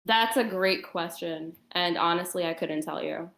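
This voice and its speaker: A woman giving a personal account as a guest, in casual conversational storytelling with somewhat halting, hesitant phrasing. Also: fairly low pitch, gravelly, a bit bright. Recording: roomy, echoing voice chat.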